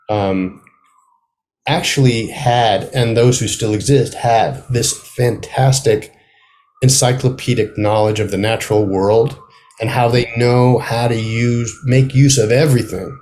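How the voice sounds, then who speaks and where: A man speaks as a guest in a casual interview over a video call. His voice sits at an average pitch. He is thoughtful and halting, leaving sentences unfinished as he works through what he means. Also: a little mellow.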